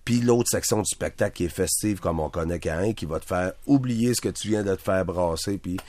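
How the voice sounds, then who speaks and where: A man with a Québécois French accent, a band songwriter telling stories on a radio show in a relaxed, hesitant way. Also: sits low, fairly quick. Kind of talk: casual radio interview.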